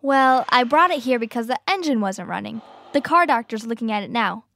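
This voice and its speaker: An actress playing a patient, easily dismayed young big sister in an audio drama. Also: female voice, fairly high pitch, expressive, a little fast, vocal fry, fairly bright tone, no echo, clean studio sound. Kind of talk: family audio drama acting.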